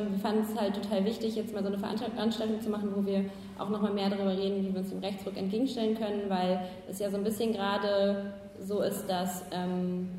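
A woman's voice: mid-range voice, mellow, a little hiss or hum. A woman moderating a panel discussion, speaking hesitantly with many fillers. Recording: echoey hall, distant mic.

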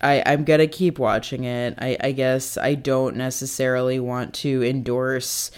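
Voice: medium pitch, flat delivery, measured pace, clear, resonant tone. Hesitant, rambling American podcast co-host. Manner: hesitant, rambling, heavy use of "like". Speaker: co-host of a TV discussion show. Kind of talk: casual unscripted chat about television.

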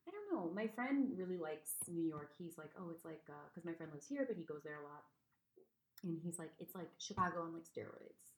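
A woman's voice: lowish voice, a little fast. A woman hosts a casual conversation, chatty and full of fillers. Her voice swings up and down a lot. The room around her is echoey. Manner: chatty, lively, lots of "like". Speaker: host. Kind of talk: casual chat.

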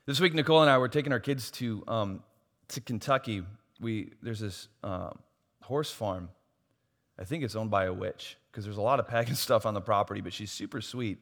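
Hoarse preacher with a bright voice in a reverberant hall. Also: male voice, fairly low pitch, normal speed. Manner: swings from soft asides to loud emphatic preaching. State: losing his voice. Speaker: pastor. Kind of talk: sermon.

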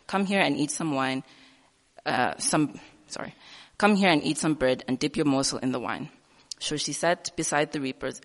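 A man reading a Bible passage aloud at a church service, steady but with small slips he corrects. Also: mid-range voice, gravelly. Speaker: scripture reader. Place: church hall. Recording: reverberant church hall.

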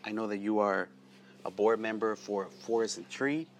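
Casual male interviewer, lower voice, friendly and loose, outdoors with faint ambient noise. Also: normal speed. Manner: loose and friendly, repeats words. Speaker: interviewer, host.

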